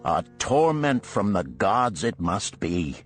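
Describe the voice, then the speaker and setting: A man's voice: sits low, big pitch swings, slow, faint music behind, full character voice. Man acting a gloomy, poor ancient craftsman. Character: gloomy, troubled, poor male chariot builder. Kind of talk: audiobook character voice.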